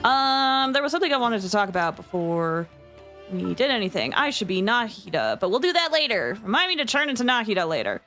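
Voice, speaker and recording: A woman's voice: a little high, very animated, fairly quick, a bit bright, soft background music. A giggly, chatty woman hosting a game livestream, talking casually and breaking into exclamations. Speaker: game streamer, host.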